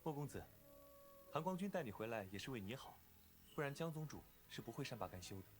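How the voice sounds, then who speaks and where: An actor playing a polite, earnest young clan disciple in an animated drama, courteous and reasoning. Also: male voice, medium pitch, quick pace, faint music behind, close to an everyday voice.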